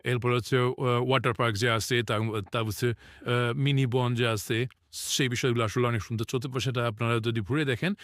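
A young male college student from Bangladesh, talking earnestly to camera outdoors and filling pauses with hesitations. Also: medium pitch, fairly even intonation, a bit bright, no room sound, studio quality. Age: young adult. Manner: earnest, talkative, hesitant with fillers. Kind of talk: casual talk to camera. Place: outdoors in a park.

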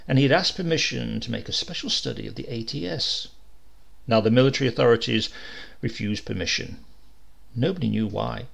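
A man reading a comic short story aloud as narrator, expressive and lively in his delivery. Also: medium pitch, vocal fry. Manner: lively, expressive reading with varied character voices. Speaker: audiobook reader.

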